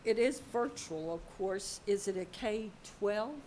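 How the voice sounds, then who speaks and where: A woman school board member chairing a public meeting, asking direct, brisk questions. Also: medium pitch, darkish tone, slight background noise, poor audio.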